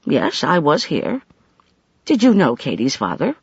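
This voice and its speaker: A man's voice: on the high side, wildly swooping pitch, a little slow, gravelly, husky, no room sound, muffled recording, exaggerated voice. A man playing an inquisitive, kindly woman visitor in an audiobook, questioning frankly. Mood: curious, sympathetic. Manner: questioning, frank, easily startled.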